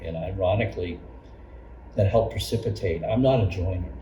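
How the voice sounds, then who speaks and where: An older man, a longtime Sunday school teacher, reminiscing in an interview in a halting, circling way with repeated phrases. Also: low-pitched, muffled recording. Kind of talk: personal reminiscence in an oral history interview. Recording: roomy, distant mic, noisy background.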